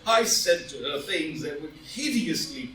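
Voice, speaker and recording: A man's voice: a little high, lively intonation, measured pace, a bit bright, a little hiss or hum. A man playing a proud, tormented statesman full of shame, melodramatic and declamatory in a staged play reading. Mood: anguished, despairing. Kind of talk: stage play read aloud as audio drama. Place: indoor room, live in-person reading. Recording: roomy live reading, distant mic, music.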